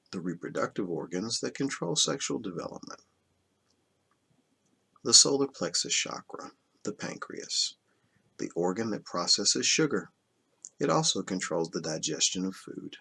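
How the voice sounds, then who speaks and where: A man reading a self-help book aloud as scripted narration, in a measured, instructional manner that stresses key terms. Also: on the low side, gravelly, bright, forceful tone, husky. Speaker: audiobook reader.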